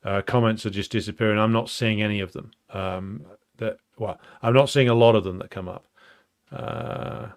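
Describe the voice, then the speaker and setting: A man hosting a live stream, chatting casually and reading out viewer comments, halting with many ums and uhs. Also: lowish voice, fairly even intonation. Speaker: livestream host.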